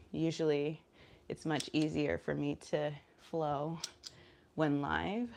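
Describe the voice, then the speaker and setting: A woman chatting casually in a vlog, low on energy and nasal from a cold, rambling with lots of fillers and talking through squats. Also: vocal fry, a little mellow, a little hiss or hum. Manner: talking while doing squats. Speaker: vlogger. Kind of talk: casual chat vlog, streaming live.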